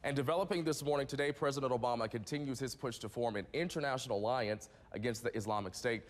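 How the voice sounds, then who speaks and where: A man's voice: mid-range voice, fast, in a large hall. A man with an American accent reading scripted morning television news as the anchor. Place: TV news studio.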